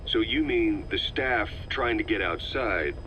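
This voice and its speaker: A hardened commander with a very deep, gruff voice. He questions tersely and sceptically in a game drama, over faint background noise on the tape. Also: animated, unhurried, highs cut off. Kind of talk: video game audio drama acting.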